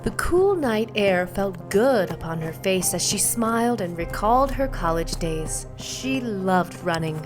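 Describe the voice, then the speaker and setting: A woman's voice: mid-range voice, lively intonation, a little slow, hardly any pauses, over music. A woman reading a scripted suspense story as its narrator.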